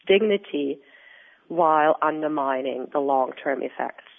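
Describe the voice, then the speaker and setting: Austrian woman on a tinny phone line. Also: low-pitched. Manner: earnest, explanatory, measured. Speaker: researcher and guest. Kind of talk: interview answers.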